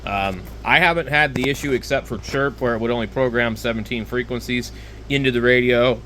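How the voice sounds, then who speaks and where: A man giving casual, rambling, unscripted product talk as a video host, with a hesitant, halting delivery. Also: mid-range voice, pushed and bright, a little hiss or hum. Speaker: hobbyist video host reviewing handheld radios.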